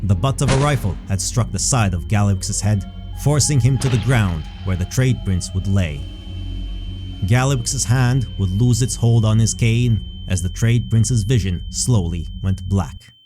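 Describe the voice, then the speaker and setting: A man narrating a scripted fantasy story as a measured storyteller. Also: lowish voice. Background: background music.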